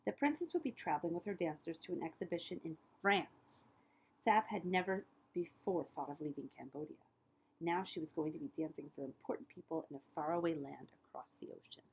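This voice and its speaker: A woman reads a children's story aloud in a slightly low, husky voice with plenty of fry. Her narration is expressive, stressing key words and letting phrase endings sink into a hush. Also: a little fast, rough recording. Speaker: librarian reading aloud.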